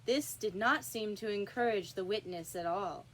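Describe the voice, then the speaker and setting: A woman reading a children's classic aloud as an expressive storybook narrator. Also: medium pitch, normal speed, a little hiss or hum, low-quality recording. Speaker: storybook reader.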